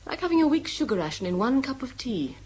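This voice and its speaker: A wry, caring secretary in a radio play, teasing and intimate. Her voice is fairly high and husky, with lively swings of pitch. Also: fast talker. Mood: tart. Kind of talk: radio drama dialogue.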